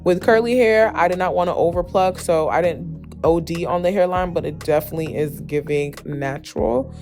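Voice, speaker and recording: A young adult woman with a slightly hoarse, raspy voice, giving a chatty, emphatic beauty tutorial as a casual voice-over. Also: on the low side, moderate pace, a little mellow. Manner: chatty, emphatic, addresses viewers familiarly. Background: background music with guitar.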